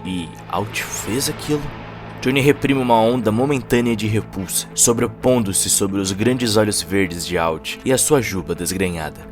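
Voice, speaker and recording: A man's voice: lowish voice, moderate pace. A man with a Brazilian Portuguese accent giving dramatic scripted narration of a cyberpunk story. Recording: music under the voice.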